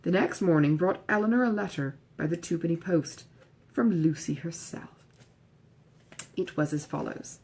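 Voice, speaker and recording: A woman's voice: on the low side, moderate pace, darkish tone, breathy edge. A woman reading a classic novel aloud as scripted audiobook narration. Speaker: audiobook reader.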